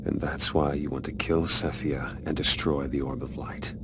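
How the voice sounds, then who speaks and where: A stoic video game warrior with an extremely deep voice. He speaks with measured, earnest resolve, urging a comrade on. Clear background noise and ambience sit behind him. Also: flat delivery. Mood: grave, insistent. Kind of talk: dramatic video game cutscene acting.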